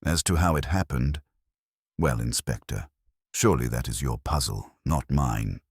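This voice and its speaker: A man reads as a self-assured woman under suspicion in a mystery story. The voice is very low and dryly recorded. She sounds poised and wry, with total composure. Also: measured pace, breathy edge, no echo, studio quality, heavily acted. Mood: wry, composed.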